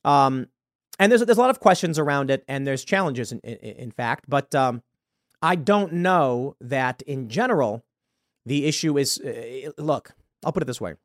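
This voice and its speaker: A man hosting a commentary show, talking through his views in a halting way with many repeated words and sudden loud emphasis. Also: mid-range voice, expressive, no room sound, clean studio sound. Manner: hesitant, repeats words, stresses points loudly. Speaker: show host. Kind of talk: political commentary monologue.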